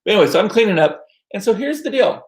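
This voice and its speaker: Middle-aged man, American accent, higher-than-average pitch, informal host chatter, talkative, meandering, self-deprecating. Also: lively intonation, quick pace. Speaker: livestream host. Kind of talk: casual chat to live viewers. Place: small home room used as studio.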